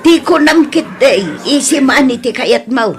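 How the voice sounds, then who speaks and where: A woman's voice: high-pitched, expressive, unhurried, faint music behind. A woman with an Ilocano Filipino accent playing a worried, reproachful village mother in an audio drama. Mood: upset, reproachful. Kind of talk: radio-style audio drama acting.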